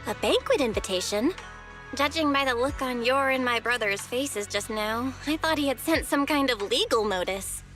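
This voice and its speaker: Curious young disciple in a game, really high voice, inquisitive, faint music behind. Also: female voice, lively intonation, normal speed, a bit bright. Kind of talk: video game voice acting.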